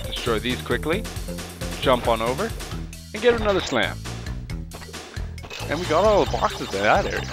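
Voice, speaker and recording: Guy with a cold, sniffly, rambling lets play chatter, loud game music behind. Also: medium pitch, very animated, moderate pace, pauses often. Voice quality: rambling, self-talking, playful jokes at the game. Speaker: let's play host. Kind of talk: casual gameplay commentary.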